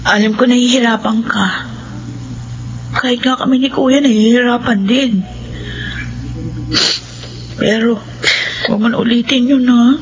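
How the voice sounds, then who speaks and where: A woman's voice: on the high side, fairly even intonation, unhurried, pauses often, slight background noise, close to an everyday voice. Woman playing a soothing older sister. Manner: soothing, coaxing. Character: caring older sister, comforting and protective. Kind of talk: Filipino radio drama acting. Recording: muffled radio drama with music underneath.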